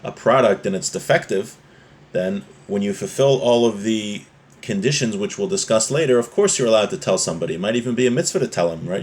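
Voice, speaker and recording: Guy giving a casual torah law class, reads a line then explains it, keeps checking you follow. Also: fairly low pitch. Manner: explanatory, often checking with "right?". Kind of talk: informal religious class, reading Hebrew text and explaining it.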